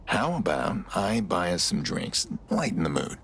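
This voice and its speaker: Gruff male outlaw hacker, deep gravelly voice, blunt, terse, haggard, video game drama. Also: very animated, moderate pace, fairly bright tone, slight background noise, full character voice. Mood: awkward flirting.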